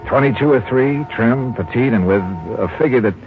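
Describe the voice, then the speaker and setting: An American actor playing a wry, hard-boiled insurance investigator in a radio drama, with dry, conversational delivery. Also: male voice, medium pitch, normal speed, lightly acted. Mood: wry narration. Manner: dry, wry, conversational first-person narration and questioning. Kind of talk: 1950s American radio detective drama acting. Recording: muffled 1950s radio recording with music.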